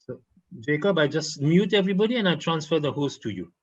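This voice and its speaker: A man as meeting organizer giving brisk technical instructions over a video call. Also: on the high side, lively intonation. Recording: dull distant video call audio.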